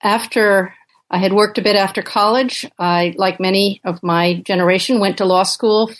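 An older woman from Uganda, a professor and author, answering interview questions thoughtfully with many pauses and fillers. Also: mid-range voice, steady tone. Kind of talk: podcast interview.

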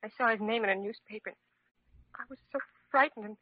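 A fragile young American mother in a vintage radio drama. Her voice is fairly high and breathy, hesitant and full of despair. The recording is dull and dry. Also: fast, no echo. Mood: anxious, weary. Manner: hesitant, plaintive, frightened. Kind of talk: old-time radio drama acting.

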